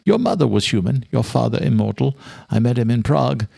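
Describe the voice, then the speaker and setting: A gravelly audiobook villain, acted by a man, obsessive and grandiose. He declaims like a spurned lover who believes himself above all others. The sound is dry and close. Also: mid-range voice, big pitch swings, a little fast, warm tone, no echo, exaggerated voice. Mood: grandiose, resentful. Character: obsessive, grandiose immortal male killer reading his love letter. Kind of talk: dramatic audiobook villain monologue.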